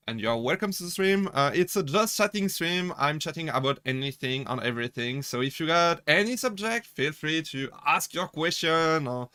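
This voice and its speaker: A man's voice: on the high side, bright, forceful tone, clear, resonant tone, no room sound, clean studio sound. A young man from France with a French accent, chatting casually with his live-stream chat, laughing often and restarting his sentences as he jokes. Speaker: VTuber streamer.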